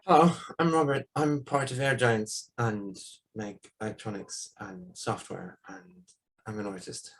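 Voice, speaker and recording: A man introducing himself as an artist, soft-spoken and trailing off. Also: fairly low pitch, fairly even intonation, unhurried, rough recording. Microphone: video call.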